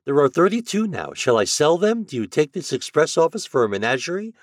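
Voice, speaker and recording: Man putting on a stubborn, animated Irish express agent. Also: fairly high pitch, a little fast, no echo, clean studio sound, voice pushed up. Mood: exasperated. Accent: stage Irish. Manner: emphatic, folksy, ungrammatical.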